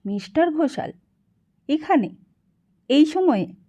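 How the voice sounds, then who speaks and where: A woman reader plays a young lady of the house in an audiobook novel. She sounds guarded and uneasy, asking wary questions in a raised pitch. The recording is a touch muffled. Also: big pitch swings, slow pace, dark tone, full character voice. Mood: startled. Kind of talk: audiobook character dialogue.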